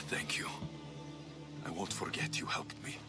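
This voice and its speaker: An actor playing a tough, blunt, sardonic mercenary of ancient Greece in a video game. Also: male voice, slow pace, in a whisper, loud music behind the voice, lightly acted. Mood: sober. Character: tough, wry male Spartan mercenary, blunt and menacing. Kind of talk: video game character acting.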